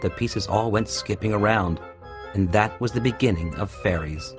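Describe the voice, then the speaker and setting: A man's voice: fairly low pitch, normal speed. A man narrating a scripted children's storybook tale. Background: music.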